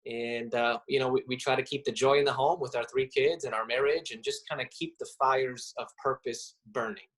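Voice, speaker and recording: Man, interview guest, thoughtful, pauses and fillers. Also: mid-range voice, fairly even intonation, a bit bright, rough recording. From Romania. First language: Romanian. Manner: reflective, halting, many fillers. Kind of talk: casual interview.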